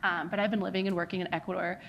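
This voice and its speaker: A woman's voice: fairly low pitch, flat delivery, racing, gravelly, highs cut off, a little hiss or hum. An American woman giving a conference talk as a charity founder, earnest, with frequent fillers like "kind of" and "like". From United States. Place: conference venue.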